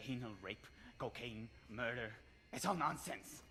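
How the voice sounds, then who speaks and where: An actor playing an aloof, misanthropic captive cartel genius, condescending, philosophical and theatrical, in a video game cutscene. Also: male voice, mid-range voice, a little slow, pushed and bright, soft background music. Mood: nihilistic, scornful. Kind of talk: dramatic game cutscene dialogue.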